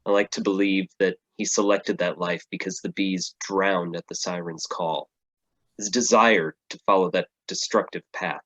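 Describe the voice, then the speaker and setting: A man's voice: low voice, fairly even intonation, moderate pace, a bit bright, dry recording, poor audio. An actor playing an earnest, solemn male superhero in a staged play reading. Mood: reflective.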